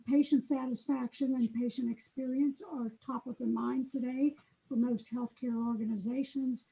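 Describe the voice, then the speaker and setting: A soft-spoken woman giving a conference talk on a computer microphone, with frequent fillers. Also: high voice, creaky, poor audio. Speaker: guest speaker. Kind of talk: conference presentation. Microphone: auxiliary computer mic.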